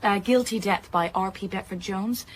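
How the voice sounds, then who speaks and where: Actress as a blunt teasing woman at a book club, medium pitch, bit of background noise. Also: moderate pace, close to an everyday voice. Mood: matter-of-fact. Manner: blunt, needling. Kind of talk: naturalistic radio drama acting.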